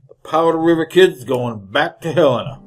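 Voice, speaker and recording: A man's voice: fairly high pitch, animated, moderate pace, faint music behind, natural voice. A man playing a greedy, scheming frontier outlaw with rustic, folksy, drawling speech in an old-time radio western. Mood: bossy. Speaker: actor in supporting role.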